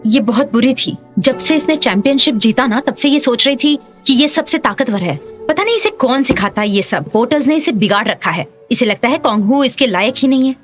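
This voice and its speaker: A woman voicing an excitable, gossipy young martial arts student, loyal to the coach and opinionated, in a dubbed drama. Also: high voice, brisk, soft background music. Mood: resentful. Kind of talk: dubbed screen drama dialogue.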